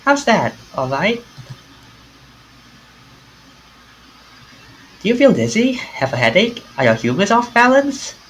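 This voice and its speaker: A man acts out a fussy young woman in a visual novel. The voice is raised high and bright, animated and pushy with questions and concern. There is light noise in the recording. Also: normal speed. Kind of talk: amateur visual novel character reading.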